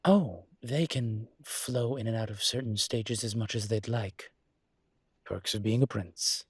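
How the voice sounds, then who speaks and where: Guy doing a flirty demon prof for audio rp, husky slow voice, super dry close sound, teasing and indulgent. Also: on the low side, dry recording. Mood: sly, instructive. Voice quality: husky, breathy. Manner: languid, seductive, theatrically polite with drawn-out words. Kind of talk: intimate flirty audio roleplay to the listener.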